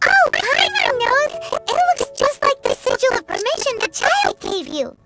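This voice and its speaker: A woman voicing a tiny, chirpy fairy companion in a game who talks about herself in the third person, with loud game music behind. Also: extremely high pitch, sing-song, measured pace, full character voice. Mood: curious.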